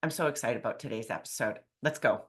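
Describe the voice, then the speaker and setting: Low-voiced excited woman podcast host. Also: fast talker. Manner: excited, chatty, many fillers. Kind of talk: enthusiastic podcast intro and interview.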